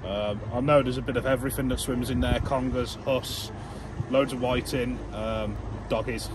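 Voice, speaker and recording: A man's voice: medium pitch, steady tone, normal speed, noisy background. Chatty, easygoing man vlogging, music behind. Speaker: angling vlogger and host. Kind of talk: casual vlog chat and narration.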